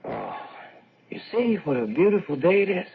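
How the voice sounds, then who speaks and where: An actor playing a kindly, concerned minister in a radio drama, with a gentle, hesitant and earnest manner. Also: male voice, high-pitched, big pitch swings, normal speed. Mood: kindly, solicitous. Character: kindly, concerned church minister soothing his flock. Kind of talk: old-time radio drama acting. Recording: narrow phone-like old radio, faint noise.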